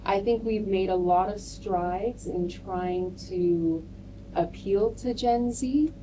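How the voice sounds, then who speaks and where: A woman marketer on a debate panel, speaking in a measured way and leaning on "you know" and "um" as she builds her points. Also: medium pitch. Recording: distant mic with clear background noise.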